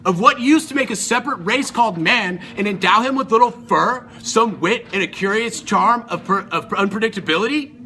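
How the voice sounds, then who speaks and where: A man's voice: sits high, a little fast, few pauses, bright, forceful tone, a little hiss or hum. A man playing a persuasive Titan who befriends mankind, speaking in an earnest, explanatory way in a myth performance. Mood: questioning. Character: persuasive, questioning male Titan, friend of mankind.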